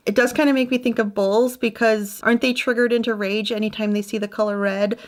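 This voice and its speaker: A woman narrating a true crime story in a conversational way, with musing personal asides. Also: medium pitch. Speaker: true crime channel host.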